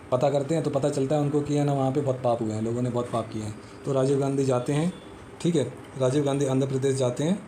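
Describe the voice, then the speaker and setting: A man explains exam memory tricks, walking through a little story step by step and checking in with the listener again and again. His voice is warm and mellow at an ordinary pitch. A faint hiss sits under the recording. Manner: repetitive, peppers talk with "theek hai" and "hai na". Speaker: exam coaching teacher. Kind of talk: casual explanatory lesson with mnemonic tricks.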